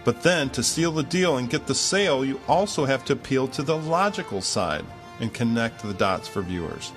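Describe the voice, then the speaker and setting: A man's voice: mid-range voice, animated, moderate pace. Persuasive man narrating over music. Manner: persuasive, rhetorical. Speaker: marketer narrating a promotional video. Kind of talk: scripted sales narration. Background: background music.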